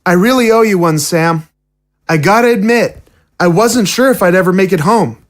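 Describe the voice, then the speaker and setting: A man's voice: high-pitched, moderate pace, natural voice. An actor playing a wry, grateful boy in an audio drama. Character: grateful, wry kid who made it home.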